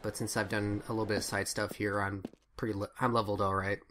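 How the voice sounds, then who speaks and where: A man casually commentating on a video game he recorded, relaxed and rambling. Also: lowish voice, fast talker, a little hiss or hum. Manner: relaxed, rambling, trails off and restarts. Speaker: let's play host.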